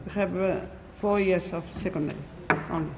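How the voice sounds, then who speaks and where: A Spanish-accented woman head teacher answers questions in halting English, pausing often on fillers. Her voice rings out in a large echoing hall, with a dull lo-fi sound and faint background noise. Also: lowish voice, steady tone. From Spain. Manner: hesitant, many fillers. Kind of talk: interview answers in non-native English.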